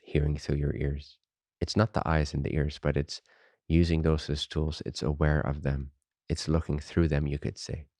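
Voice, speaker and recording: A man leading a guided meditation as a teacher, unhurried and informal, speaking in short phrases with pauses between them. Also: a bass voice, a little mellow, dry recording.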